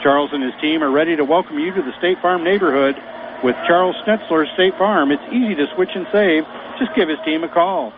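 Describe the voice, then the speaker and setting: A man's voice: fairly high pitch, fairly quick. A man working as a local radio color commentator, easygoing and conversational. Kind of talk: ad reading and pregame banter. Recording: phone-like radio broadcast with clear noise.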